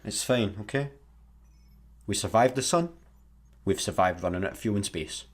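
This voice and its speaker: An actor playing a hapless, good-natured, sheepish satellite worker with a Scottish accent in a sci-fi comedy radio play. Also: male voice, fairly low pitch, expressive, normal speed. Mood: resigned, consoling.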